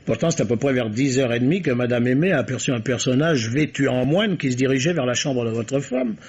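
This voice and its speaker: An actor playing a shrewd, courteous police commissioner who questions suspects formally in a radio mystery. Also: male voice, medium pitch, brisk, darkish tone, slightly rough, natural voice. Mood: measured, probing. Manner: formal, probing, polite. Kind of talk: French radio mystery drama acting. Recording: dull, band-limited radio drama recording.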